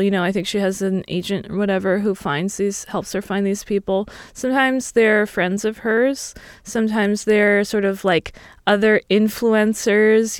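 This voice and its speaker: Woman, early thirties, smooth resonant voice, mid pitch, casual explanatory guest chat, close dry sound. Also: no room sound. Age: early 30s. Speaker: podcast guest.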